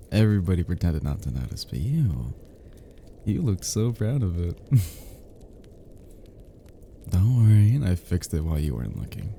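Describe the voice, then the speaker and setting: A man playing a cozy, teasing young male friend who is sweetly smitten, in an intimate ASMR roleplay, murmuring softly and hesitantly with chuckles. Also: low-pitched, very animated, unhurried, vocal fry, long pauses, a little hiss or hum, exaggerated voice. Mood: fond, amused.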